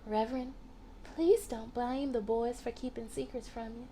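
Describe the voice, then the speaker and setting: A woman voicing a sweet, wise young nanny in a storybook, gentle, earnest and expressive. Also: fairly high pitch, normal speed, a little hiss or hum, natural voice.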